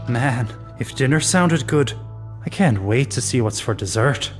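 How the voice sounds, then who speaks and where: A man's voice: medium pitch, measured pace, close to an everyday voice. An actor playing an eager, curious man in an audio drama. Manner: excitable, casual. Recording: loud music bed under the voice.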